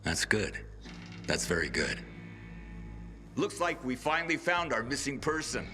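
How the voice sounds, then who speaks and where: An actor playing a sly, menacing gang thug in a video game, insinuating and taunting. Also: male voice, medium pitch, very animated, a little slow, pushed and bright, soft background music. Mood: smug menace.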